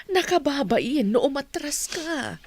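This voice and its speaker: A woman's voice: sits high, lively intonation, unhurried, a little mellow, no echo. A woman with a Filipino accent playing a concerned, firm mother of a bride-to-be in an audio drama.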